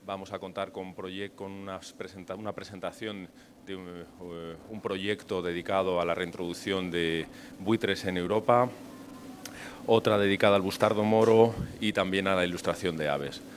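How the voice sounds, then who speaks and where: A man's voice: low-pitched, fairly even intonation, moderate pace, a little hiss or hum. A man moderating a conference, introducing the speakers formally but with hesitant fillers and restarts. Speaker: event moderator.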